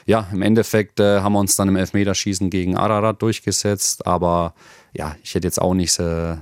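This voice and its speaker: A man in his thirties from Germany, a football player answering interview questions in a relaxed, hesitant way with frequent fillers. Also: low voice, brisk, clear, resonant tone, no room sound, studio quality. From Germany, near Nuremberg. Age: 30s.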